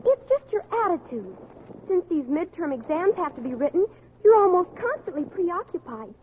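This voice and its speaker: A woman's voice: extremely high pitch, big pitch swings, normal speed. An actress playing an earnest, chatty, meddling teenage schoolgirl in a vintage radio sitcom. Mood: earnest, gushing. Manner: gushing, earnest, tactlessly frank. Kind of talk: 1940s-50s radio sitcom acting. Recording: narrow vintage radio recording, audience, hiss.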